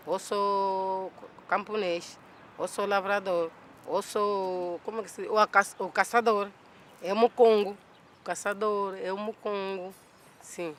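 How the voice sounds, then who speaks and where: A man with a notably high voice lists the details plainly. He often repeats himself. Faint outdoor noise sits behind him. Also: level tone, measured pace, fairly bright tone. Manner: plain, listing, repetitive. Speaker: community member describing a ritual. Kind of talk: documentary interview.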